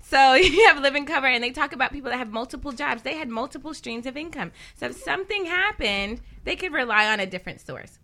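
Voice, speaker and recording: A woman's voice: sits high, animated, fast, hardly any pauses, bright, forceful tone. A woman co-hosting a conversational radio talk as a financial advisor, explaining things with rhetorical questions.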